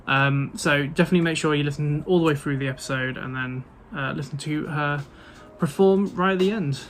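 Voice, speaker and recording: A man's voice: fairly high pitch, brisk, a bit bright, soft background music. A man hosting a podcast, introducing the episode in a friendly, slightly hesitant way with fillers. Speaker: podcast host.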